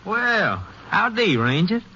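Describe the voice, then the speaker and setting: An actor playing a nervous ranch hand in an old-time radio western, with a folksy American drawl and stammering delivery. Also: male voice, sits high, sing-song, slow, bright, forceful tone, a little hiss or hum, full character voice. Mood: folksy, proud. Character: nervous cowpoke ranch hand in a fancy suit. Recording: muffled old radio recording.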